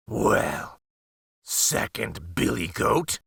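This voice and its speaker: A man's voice: lowish voice, animated, drawn-out words, vocal fry, fairly bright tone, breathy edge, dry recording, studio quality, exaggerated voice. Man putting on a growling crabby troll. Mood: menacing. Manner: growling, snarling. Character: hungry crabby male troll under a bridge.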